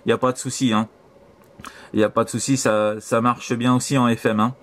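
A man's voice: mid-range voice, little pitch movement, a little fast. A man from France with a French accent, chatting casually and repetitively as an amateur radio operator over a transceiver. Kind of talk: casual radio contact chat. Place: voice over CB shortwave radio link.

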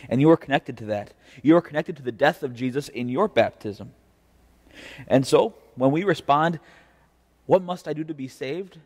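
A young American man speaks as a pastor giving a devotion. His delivery is earnest and measured, with deliberate phrasing and a mid-pitched voice. The recording carries the long reverb of a church interior. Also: darkish tone. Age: young adult. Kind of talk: devotional preaching with scripture reading. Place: indoor church chancel. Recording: reverberant church, mic at a distance.

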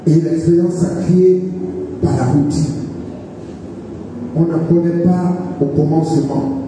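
Slow preacher in an echoey hall. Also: male voice, fairly high pitch, fairly even intonation, distant mic, background noise. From Gabon. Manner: slow, halting delivery with repeated words and hallelujahs. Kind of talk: sermon. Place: large church hall, indoors. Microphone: handheld microphone.

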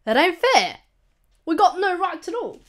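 Plaintive, eager young newsboy, played by a woman, high and swooping, slangy, audio story. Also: sing-song, measured pace, heavily acted. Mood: lamenting. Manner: lamenting, slangy.

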